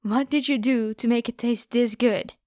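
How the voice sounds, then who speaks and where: A woman's voice: on the high side, steady tone, moderate pace, darkish tone, no room sound, studio quality, natural voice. A woman playing a haughty, predatory noble vampire girl in an ASMR roleplay, with a taunting, playful, theatrical delivery. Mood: amazed, demanding.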